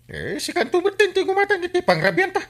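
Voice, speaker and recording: A man's voice: very high voice, wildly swooping pitch, fast talker, fairly bright tone, heavily acted. A man playing a complaining village customer in an Ilocano comedy radio drama, grumbling and protesting with an Ilocano accent. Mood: indignant. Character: complaining village customer disputing an overpriced shared bill.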